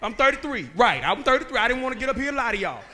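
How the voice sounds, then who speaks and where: A man, preaching a sermon that moves between joking talk and shouted calls to the men. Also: extremely high pitch, sing-song, racing. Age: 30s. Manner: conversational preaching that builds to shouting, with laughs and rhetorical questions. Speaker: guest preacher. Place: church sanctuary.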